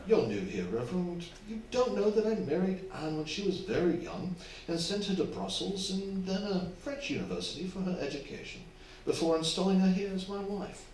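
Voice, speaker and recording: A man's voice: sits high, expressive, brisk, darkish tone, distant mic. An actor playing a wealthy, self-satisfied, genteel husband in his fifties in a staged radio drama. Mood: pompous, self-satisfied. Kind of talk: staged old-fashioned radio drama acting. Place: theatre hall, distant microphone. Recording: echoey stage recording from afar, faint noise.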